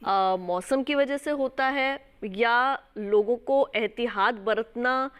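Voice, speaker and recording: A woman's voice: fairly high pitch, slow, smooth. A woman hosting a health phone-in show, putting callers' questions to doctors with polite short acknowledgements. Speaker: host.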